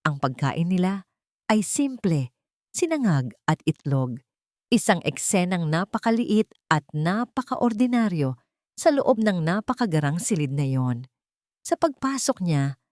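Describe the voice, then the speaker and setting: A woman's voice: fairly low pitch, very animated, a little slow, no room sound, studio quality. A woman with a Filipino accent reading a scripted, dramatic story as a narrator.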